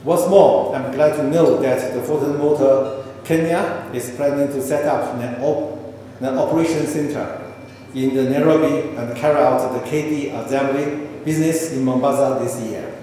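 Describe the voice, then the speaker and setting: A man's voice: mid-range voice, steady tone, normal speed, dark tone. Echoey speech, middle-aged Chinese-accented man. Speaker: official giving a speech. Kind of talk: formal scripted speech read aloud. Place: indoor event hall, at a podium. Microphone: handheld microphone. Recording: very echoey hall, faint background noise.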